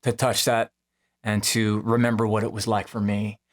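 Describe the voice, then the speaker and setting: A man who is a musician, casually and haltingly telling how a song was made, in a rambling conversational way. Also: lowish voice, level tone. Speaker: musician, singer-songwriter. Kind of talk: casual storytelling about making a song. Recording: music playing behind the voice.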